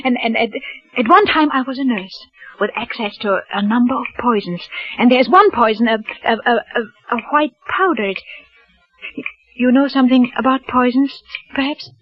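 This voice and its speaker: An actress playing a stammering, frightened young wife. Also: female voice, a little high, normal speed, natural voice. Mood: confessing. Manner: stammering, nervous, breathless. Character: frightened young wife hiding her fear from her husband. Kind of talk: 1940s radio drama acting. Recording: muffled old radio broadcast.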